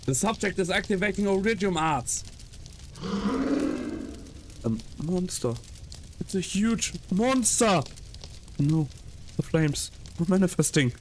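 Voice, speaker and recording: A terrified lab staffer played by a man raises the alarm in a high, urgent voice. It is game-drama acting over faint background noise. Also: animated, takes it slowly, long pauses. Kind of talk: English fan dub of an anime game.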